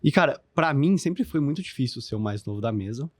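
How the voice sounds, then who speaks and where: Young man, Brazilian Portuguese accent, rapid-fire talker, slangy and profane, dry close sound. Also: medium pitch, expressive, no echo. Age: 20s. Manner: slangy, swears freely, heavy fillers. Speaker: podcast guest, business founder. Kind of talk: casual podcast chat.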